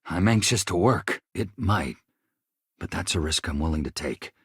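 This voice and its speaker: A man's voice: low-pitched, lively intonation, normal speed, breathy edge, no room sound, clean studio sound, heavily acted. A man voicing an earnest, weary chief engineer in a video game, speaking in a reflective, conversational way. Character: earnest, weary male chief engineer, haunted survivor of a disaster. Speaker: starship crew engineer. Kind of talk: video game character dialogue.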